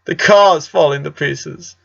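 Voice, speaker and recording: A man's voice: fairly high pitch, a little slow, vocal fry, fairly bright tone, pitched above the performer's own voice, full character voice. A man voicing an emotional, pained father who pleads and breaks into a strained, cracking voice. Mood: anguished. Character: emotional working-class father, middle-aged man. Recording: dull lo-fi recording.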